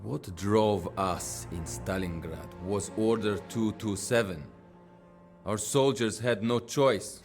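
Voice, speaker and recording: A man's voice: lowish voice, slow, darkish tone, faint music behind. An actor playing a bitter male Soviet lieutenant who questions his superior about the soldiers he lost, in a war game cutscene.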